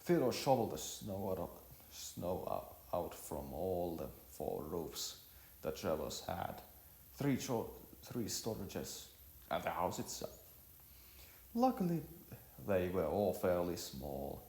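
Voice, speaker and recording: A man reading a story aloud as an amateur narrator, haltingly, often repeating and correcting his words. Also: low voice, warm tone, reverberant, low-quality recording.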